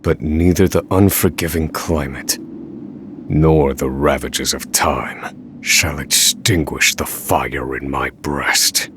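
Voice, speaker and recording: An actor playing a grim, vengeful imprisoned scholar in a video game, speaking slowly, deliberately and solemnly. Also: male voice, rumbling low, steady tone, fairly bright tone, a little hiss or hum, full character voice. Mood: brooding. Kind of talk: dramatic game narration and dialogue.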